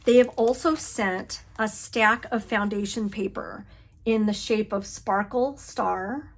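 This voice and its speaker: A woman's voice: medium pitch, slow pace. A woman hosting a casual craft video, chatting enthusiastically as she shows off patterns and giveaway items, often laughing and exclaiming. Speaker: flosstube host. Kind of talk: casual show-and-tell chat.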